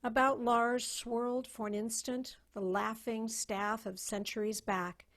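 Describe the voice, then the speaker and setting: A woman narrating a story in a solemn, measured, theatrical way, as a dramatic reading over a video call. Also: medium pitch.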